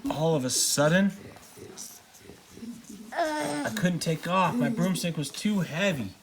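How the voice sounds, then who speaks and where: A man's voice: sits high, big pitch swings, takes it slowly, a loud crowd, voice pushed up, heavily acted. A man voicing an indignant, bookish older witch sister in a children's storybook. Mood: baffled.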